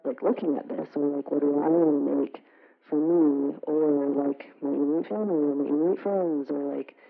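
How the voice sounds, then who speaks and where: A woman artist from Canada speaking casually on a panel, relaxed, with many fillers. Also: low-pitched, normal speed, vocal fry. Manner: relaxed, filler-heavy, laughs between points. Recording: tinny phone-like sound.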